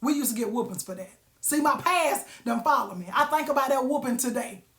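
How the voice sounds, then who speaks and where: A woman's voice: high voice, animated, fairly quick, crowd noise. A woman, giving a folksy, preachy motivational talk on a live stream and asking her audience questions. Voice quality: raspy. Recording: roomy echo.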